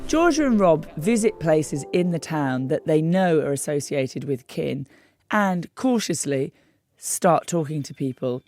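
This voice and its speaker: Low croaky woman host, measured investigative narration, slow and deliberate. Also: lively intonation, a little mellow, soft background music. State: hoarse, croaky. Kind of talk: scripted documentary narration.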